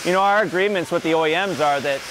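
American man explaining over loud factory noise. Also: on the high side, fast. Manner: conversational, often checking with "right?". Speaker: company representative giving a factory tour. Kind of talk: casual, unscripted explanation. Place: large indoor factory floor. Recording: noisy factory floor with machinery hum.

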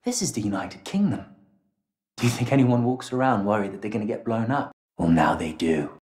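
In an echoey room, a ruthless militant boss for a video game. He speaks slowly and low, with measured menace. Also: expressive, darkish tone. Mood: cold menace. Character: calm, ruthless male militant cell leader.

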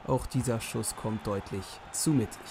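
A hoarse young man giving lively play-by-play summaries of simulated football matches. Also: lowish voice, normal speed, noisy background. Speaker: host commentating simulated matches.

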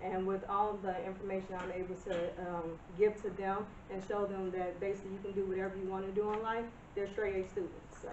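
A woman in her thirties presenting a business proposal at a village board meeting, nervous and halting, with self-conscious laughs. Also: medium pitch, little pitch movement, darkish tone, a little hiss or hum. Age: 30s. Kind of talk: public comment at a meeting. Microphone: far camera. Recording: distant roomy lo-fi meeting video.

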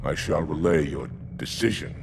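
Deep, stern alien warrior commander. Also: male voice, normal speed, heavy background noise, exaggerated voice. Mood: restrained indignation. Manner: formal, gruff, clipped. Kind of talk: video game cinematic acting. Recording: loud cinematic music behind.

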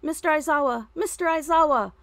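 Storybook schoolgirl in a panic, high, loud, breathless female reader's voice. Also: brisk, no room sound. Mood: panicked. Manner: panting, loud.

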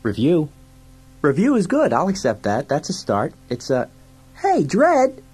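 A man voicing an excitable, whiny wisecracking crook in an audiobook, complaining and stammering, aggrieved and panicky. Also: on the high side, a little slow, husky, faint music behind, voice pushed up, heavily acted. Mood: hopeful. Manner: motor-mouthed, complaining, stammering. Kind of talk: dramatised audiobook science-fiction dialogue.

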